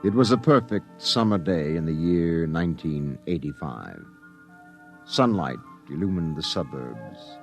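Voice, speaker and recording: A man narrating a 1950s radio drama in a slow, lyrical storytelling manner. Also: low-pitched, full character voice. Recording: old 1950s radio broadcast.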